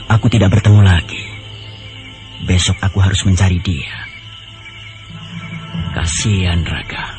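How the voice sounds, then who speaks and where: A narrator voicing a figure who broods worriedly aloud, in a much lower voice than his other characters. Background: loud background music.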